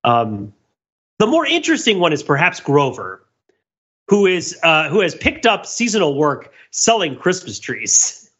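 Bright-voiced man rambling, slightly muffled audio. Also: fairly high pitch, unhurried, husky. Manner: rambling, animated retelling with tangents. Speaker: podcast host. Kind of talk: casual comedic pop-culture discussion. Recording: dull lo-fi podcast audio.

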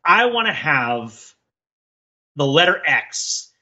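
Bright-voiced man thinking aloud, slightly dull audio. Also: fairly high pitch, very animated, slow. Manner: discursive, thinking aloud, often tags points with "right?". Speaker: podcast co-host. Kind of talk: casual discursive podcast chat.

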